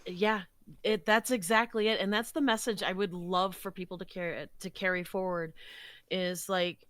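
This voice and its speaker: A woman's voice: mid-range voice, fairly even intonation, moderate pace, fairly bright tone, no echo, clean studio sound. A woman hosting a podcast in a casual, chatty and encouraging way. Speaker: podcast host, voice actor. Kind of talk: casual chat.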